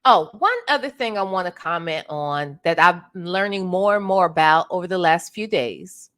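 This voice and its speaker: A woman's voice: lowish voice, moderate pace, fairly bright tone. Chatty, congested woman livestream host. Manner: chatty, greets viewers by name. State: congested, clearing her throat. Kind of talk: casual livestream chat.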